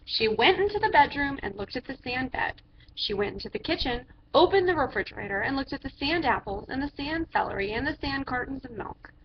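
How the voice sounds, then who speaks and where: Female storyteller, high-pitched, theatrical read-aloud for kids; muffled, noisy home-grade recording. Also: animated. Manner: theatrical, drawn-out phrases with emphatic character voices. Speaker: storyteller reading to children. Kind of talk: animated picture-book read-aloud. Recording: dull lo-fi sound with background noise.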